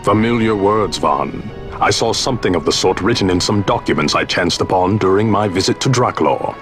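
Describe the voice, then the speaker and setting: An actor playing a gruff, proud sky pirate commander in a fantasy video game, speaking in stately archaic phrases. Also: male voice, low voice, moderate pace, a bit bright, faint music behind. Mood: grave, wry. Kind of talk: dramatic video game voice acting.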